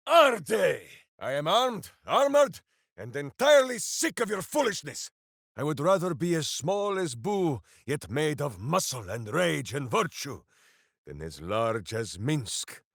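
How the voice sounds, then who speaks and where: A huge, righteous, simple-hearted warrior from a fantasy video game. His delivery is slow and bombastic, with enormous swings in pitch. He declaims quaint phrases boisterously, in a very dry, close recording. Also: creaky, a bit bright, no room sound, heavily acted. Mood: rousing, bellowing. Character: big brawny good-hearted warrior, boisterous, simple, righteous, devoted to hamster. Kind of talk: video game character voice lines.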